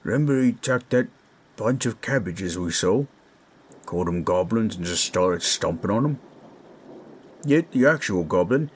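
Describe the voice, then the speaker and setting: A man voicing a kindly, bashful orc companion in a roleplay audio drama, talking in a halting, folksy, gently awkward way. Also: on the low side. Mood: puzzled, confiding. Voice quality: husky.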